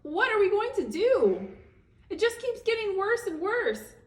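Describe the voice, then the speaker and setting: A woman's voice: very high voice, big pitch swings, unhurried, pitched above the performer's own voice, heavily acted. A woman voicing a distraught storybook mother at her wits' end, wailing anxiously. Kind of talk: storybook character voice. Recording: echoey room, distant microphone.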